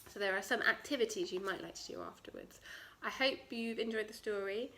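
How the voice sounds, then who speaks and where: A woman's voice: medium pitch, a little fast, a bit bright, rough recording. A woman narrating a children's story as a storytime reader.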